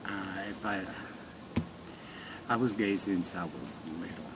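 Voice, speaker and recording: A man's voice: deep voice, slow pace. A man in his forties from Mexico with a Mexican Spanish accent, a Spanish speaker giving brief, plain answers with restarts in an oral history interview. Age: 40s. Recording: noisy recording with room hiss.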